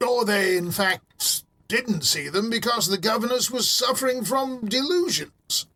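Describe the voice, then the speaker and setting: A man playing a sceptical, argumentative juror in an audio drama, deliberate and emphatic. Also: high voice, animated, pushed and bright, exaggerated voice. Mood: contradicting.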